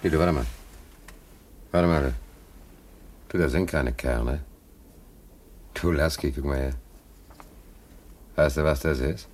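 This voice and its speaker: An actor in a German radio play playing a bitter, anxious working-class ship stoker, plain-spoken and brooding, with a husky voice. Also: male voice, rumbling low, slow, long pauses, a little mellow, heavily acted. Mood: conspiratorial, uneasy.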